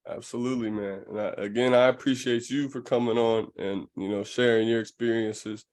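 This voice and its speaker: A man's voice: mid-range voice, steady tone, a little fast, a little mellow. A man chatting casually as a podcast host, in a reflective, slangy way. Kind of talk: casual chat.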